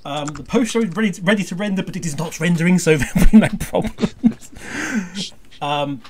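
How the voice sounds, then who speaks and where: A podcast host with a mid-range voice talks casually and haltingly, doubling back on sentences with dry humour. The recording carries faint noise and small clicks. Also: mid-range voice. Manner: halting, rambling, often stumbles and restarts. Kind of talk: casual chat.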